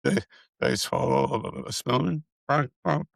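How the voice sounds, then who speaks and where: A middle-aged man speaks in a raspy, deeply gravelly voice, close and dry with no room sound. His speech halts, with stutters and repeated syllables as he runs short of breath. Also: measured pace, no echo. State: short of breath, struggling to get words out. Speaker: video creator sharing his illness progress. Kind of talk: casual personal health update.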